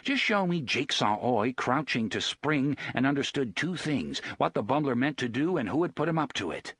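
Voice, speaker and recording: A man voicing a menacing male gang boss who speaks in a soft, thick, low voice. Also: big pitch swings, moderate pace, slightly rough, no echo. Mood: reasonable.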